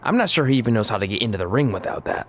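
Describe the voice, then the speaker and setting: A man's voice: lowish voice, sing-song, racing, a little hiss or hum. A man playing a cocky but sheepish young wrestler in casual video game cutscene dialogue.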